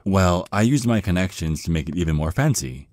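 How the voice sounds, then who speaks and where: A very low, close and dry male voice , an actor's, plays a bashful young vampire in an ASMR roleplay. He stammers nervously and rambles in awkward, halting bursts, easily flustered. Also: lively intonation, a little mellow, dry recording. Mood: nervous, eager.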